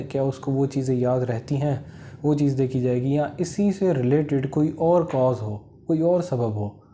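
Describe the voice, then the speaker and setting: Man, Pakistani accent, very dark relaxed tone, explanatory lecture, English legal terms mixed in, faint background noise. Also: medium pitch. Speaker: law lecturer.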